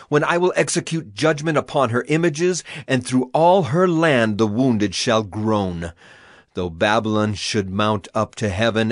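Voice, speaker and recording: A man's voice: mid-range voice, no echo, clean studio sound, close to an everyday voice. A man playing a solemn prophet proclaiming divine wrath in a declamatory, dramatized scripture reading.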